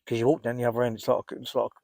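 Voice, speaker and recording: A man with a cold, rambling casually to camera while fishing outdoors by a lake, often clearing his throat. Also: mid-range voice, monotone, racing, a little mellow, no echo, clean studio sound. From England. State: congested, blocked up with a cold. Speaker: carp fishing vlogger.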